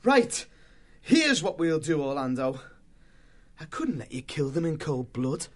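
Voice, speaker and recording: A man's voice: on the high side, big pitch swings, measured pace, voice pushed up. A man voicing an earnest male warrior mouse leader in a storybook, firm and commanding. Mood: resolute.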